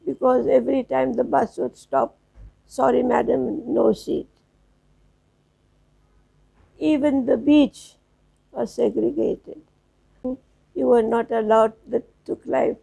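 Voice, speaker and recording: A woman in her nineties from South Africa, reminiscing slowly as an interview guest, with halting restarts. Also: on the high side, mellow, poor audio. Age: 90s. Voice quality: gravelly, husky. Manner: slow, halting storytelling with restarts.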